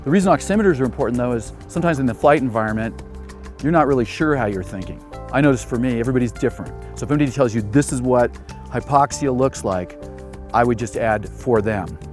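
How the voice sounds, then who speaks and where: A man with a mid-pitched voice talks quickly as he explains things informally, like an expert sharing his own experience. Faint music plays behind him. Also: a little mellow. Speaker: aviation hypoxia expert.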